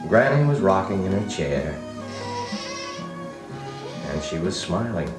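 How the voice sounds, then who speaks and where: An actor playing a grown man recalling his farm boyhood, narrating a children's record drama in an unhurried, expressive way. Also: sits low, full character voice. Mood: reflective storytelling. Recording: vintage 45 rpm record, music behind, roomy.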